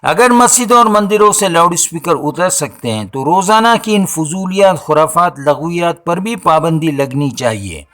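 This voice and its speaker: Higher-pitched man, oratorical delivery. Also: animated, normal speed, few pauses, a bit bright. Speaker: social and religious commentator. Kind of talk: scripted opinion monologue in formal Urdu-laced speech.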